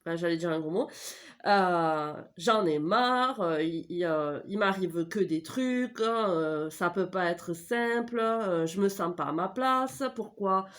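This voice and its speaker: A woman's voice: on the low side, animated, unhurried. A middle-aged woman with a stuffy head cold, giving a casual tarot reading at home into a desk mic, rambling and full of hesitations and self-corrections. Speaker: tarot reader. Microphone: desk microphone.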